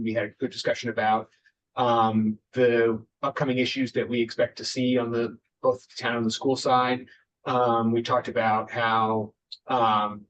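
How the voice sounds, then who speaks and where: A man chairing a town committee meeting, speaking with frequent fillers and restarts. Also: a bit bright. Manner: halting, many fillers and restarts. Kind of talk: public meeting remarks. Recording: muffled meeting recording with faint rumble.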